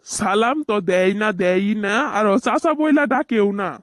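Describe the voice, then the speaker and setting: A man's voice: high-pitched, a little slow, fairly bright tone, dry recording, studio quality. A man voicing a grumbling, easily offended older village uncle in a cartoon, scolding in colloquial speech. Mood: indignant scolding. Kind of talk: cartoon voice acting.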